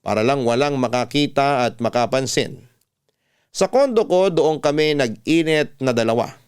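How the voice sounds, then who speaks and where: A man's voice: medium pitch, lively intonation, normal speed, clean tone. A man with a Filipino accent narrating a first-person story as a radio host, in a dramatic storytelling style. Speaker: radio host reading a listener's letter. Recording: music bed under the voice.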